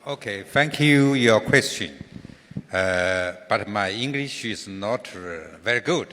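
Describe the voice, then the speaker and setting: An older man, a native Chinese speaker, answers panel questions slowly and haltingly. His pitch moves around a lot. His voice rings out in a reverberant conference hall. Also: animated, sharp tone. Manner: slow and halting, with many fillers. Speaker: industry association chairman.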